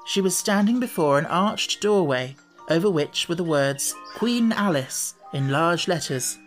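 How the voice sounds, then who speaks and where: A man's voice: fairly high pitch, normal speed, soft background music. A man narrating a children's storybook audiobook from a script.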